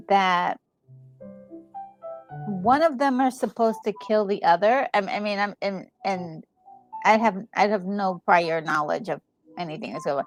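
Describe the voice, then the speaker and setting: A woman giving casual reaction commentary on a game, thinking out loud in a conversational, musing way. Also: medium pitch. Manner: conversational, musing, trailing thoughts. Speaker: gaming video co-host.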